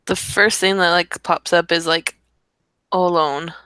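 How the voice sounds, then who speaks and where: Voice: medium pitch, steady tone, measured pace, pushed and bright, smooth, no echo. A person chatting casually while taking part in a group brainstorm. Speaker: stream participant. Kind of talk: casual chat.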